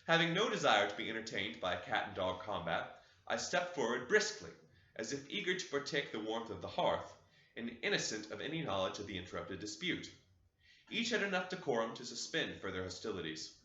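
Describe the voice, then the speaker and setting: A man reading a classic novel aloud as an audiobook, in an expressive, dramatic way, recorded in an echoey room with dull sound. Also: lowish voice, a little fast. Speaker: audiobook reader.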